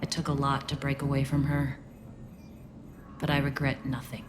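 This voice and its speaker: A low female voice for an earnest alien resistance leader in a video game. She is guarded and reflective, weighing her words with sincerity. Ambient noise is clearly heard behind her. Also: completely flat delivery, moderate pace. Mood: wistful, reflective.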